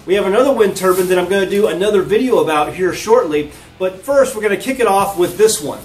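A man's voice: high-pitched, fast talker. An American man in his thirties hosting a homesteading vlog, casually explaining a product to viewers. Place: living room with wood floor and large windows. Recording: roomy indoor echo.

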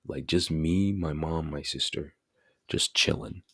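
An eighteen-year-old man chatting casually in a bedroom vlog, laid-back and slangy with lots of fillers, into a desk mic on a boom arm. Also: measured pace, sharp tone, breathy edge, no echo, clean studio sound. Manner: laid-back slangy talk, many fillers like bro and you know. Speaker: vlogger. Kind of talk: casual birthday vlog chat. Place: small bedroom indoors.

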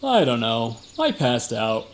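A man's voice: medium pitch, huge pitch swings, slow, a little hiss or hum, exaggerated voice. A man playing a boastful, evasive security guard in a comic radio drama, folksy, slangy and given to exaggeration. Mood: earnest, indignant. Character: boastful, evasive tough-guy security guard, a fibbing male cousin.

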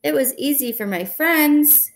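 A woman's voice: high-pitched, animated, measured pace, pitched above the performer's own voice. A woman voicing a discouraged young boy turtle from a storybook, plaintive and emphatic. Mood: frustrated. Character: young male turtle, discouraged, whiny, easily frustrated.

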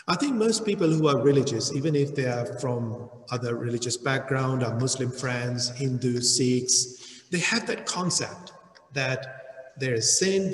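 Slow-preaching man in an echoey church hall. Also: medium pitch. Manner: slow, deliberate preaching with emphatic rises. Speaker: pastor. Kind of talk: sermon.

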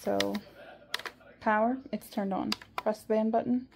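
A woman slowly testing a radio at home, matter-of-fact about what works and what does not. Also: medium pitch, fairly even intonation, creaky, noisy background. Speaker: product reviewer. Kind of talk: casual product demo.

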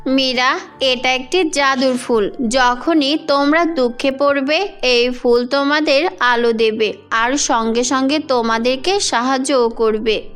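A woman voicing a kind, reassuring blue fairy in a children's fairy-tale cartoon, gentle and encouraging. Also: high-pitched, slow, soft background music, pitched below the performer's own voice. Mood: tender.

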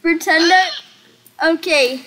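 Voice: extremely high pitch, slow, pushed and bright. A child hosting a casual Roblox roleplay stream, playfully directing the make-believe. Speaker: host of a Roblox roleplay livestream. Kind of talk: casual game roleplay chat. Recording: noisy home recording, clicks.

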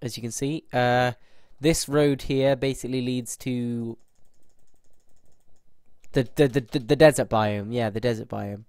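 A man's voice: medium pitch, fairly even intonation, a little slow, a little mellow, smooth, dry recording, clean studio sound. A man with a stuffy, bunged-up nose, rambling casually through a gameplay tour with frequent restarts. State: stuffy nose from hay fever. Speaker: gameplay video host.

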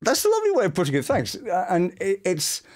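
A middle-aged man with a British accent telling anecdotes in an expressive, enthusiastic way as an interviewed voice actor. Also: high voice, fast. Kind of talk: animated anecdotal interview chat. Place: small home studio room. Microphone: boom-mounted studio microphone.

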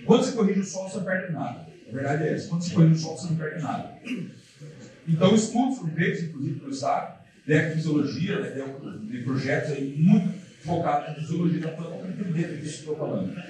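A man's voice: fairly high pitch, lively intonation, fast talker, crowd noise. A man giving a casual seminar talk to an audience in a large hall, repeating himself with many fillers. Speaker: lecturer. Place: large dark lecture hall. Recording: echoey hall from far off, audience.

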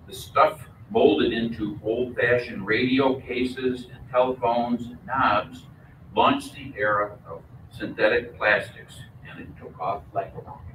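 An older man with a booming voice, giving a prepared lecture at a podium microphone with measured, lightly humorous delivery. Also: fairly bright tone, a little hiss or hum. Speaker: guest lecturer. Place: mosque lecture room. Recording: echoey room, from far off.

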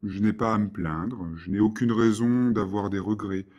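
A man reading scripted everyday dialogues for a French lesson with clear, careful delivery. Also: on the low side, fairly even intonation, normal speed, a little mellow. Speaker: dialogue reader for French learners.

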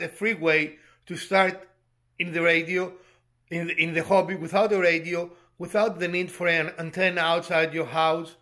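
A man in his fifties with a fairly high-pitched voice presents a hobby tutorial. He explains things step by step in a measured, halting way, often repeating a word before going on. He is recorded at about arm's length in a room at home. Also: bright, forceful tone. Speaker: presenter of a DIY hobby channel. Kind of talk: explanatory tutorial talk to camera.

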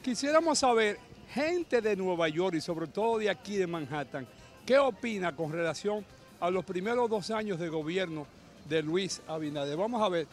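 High-voiced Dominican street reporter. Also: male voice, animated, faint music behind. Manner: brisk, friendly, calls out to passersby. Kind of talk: street vox pop interviews. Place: busy city sidewalk outdoors. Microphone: handheld mic.

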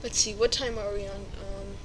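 A woman's voice: medium pitch, little pitch movement, moderate pace, slight background noise, low-quality recording. A woman giving casual let's-play commentary, chatty and hesitant with many ums and playful sound words. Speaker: video game player. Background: background music.

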